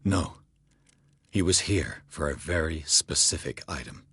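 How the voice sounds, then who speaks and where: Composed, defiant male intruder, deep and heavily husky, for a dramatic game. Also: animated, measured pace, pushed and bright, no room sound, clean studio sound, exaggerated voice. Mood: grave, insistent.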